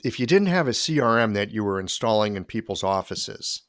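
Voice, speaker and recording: A man hosting a podcast, relaxed, asking follow-up questions and joking lightly with his guest. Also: fairly low pitch, fairly quick. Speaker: podcast host. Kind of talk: casual interview conversation.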